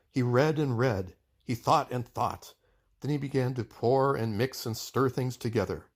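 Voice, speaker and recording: A man narrating a children's book aloud in an expressive storytelling style. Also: mid-range voice.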